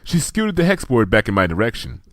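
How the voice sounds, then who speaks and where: Animated man reading a story, dry close sound. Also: mid-range voice, fairly quick, no echo. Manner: animated, many voices. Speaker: reader. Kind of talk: reading fan fiction aloud.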